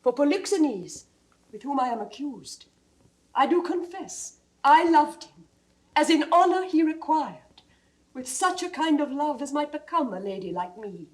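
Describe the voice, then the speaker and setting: A high-voiced, dignified wronged queen. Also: lively intonation, low-quality recording. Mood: firm, dignified. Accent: British. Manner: formal, measured diction, sometimes dropping to hushed tones. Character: dignified, wronged queen on trial, noble and steadfast. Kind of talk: classical Shakespearean stage acting.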